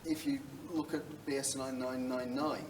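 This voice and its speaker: A man's voice: mid-range voice, level tone, normal speed. A man making blunt, informal expert remarks in a discussion, recorded from a distance in an echoey, noisy room. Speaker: industry expert. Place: indoor event room.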